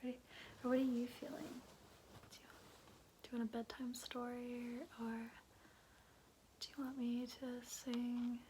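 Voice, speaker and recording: A woman doing ASMR in a soft, breathy whisper, chatting and telling bedtime stories in a hushed, musing way. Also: on the high side, completely flat delivery, measured pace, pauses often, a little hiss or hum. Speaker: ASMR host.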